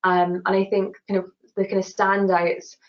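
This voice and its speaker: A woman's voice: mid-range voice, monotone, normal speed. A woman with a Glasgow accent answering questions in a webinar, earnest and full of "you know" and restarts. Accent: Scottish (Glasgow). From Glasgow, Scotland. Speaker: award-winning engineer guest. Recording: dull lo-fi webinar audio.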